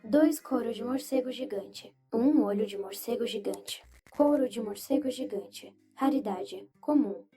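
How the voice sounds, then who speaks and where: A woman's voice: on the high side, a little slow, few pauses, lightly acted. A woman voicing a neutral game interface that reads out item descriptions. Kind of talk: item announcement in an audio story. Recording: clear music behind the voice.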